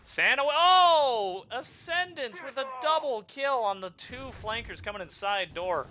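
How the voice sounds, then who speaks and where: A man whose native language is German, giving excitable live play-by-play commentary on a video game match. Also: very high voice, a little slow, a little hiss or hum. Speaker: esports commentator.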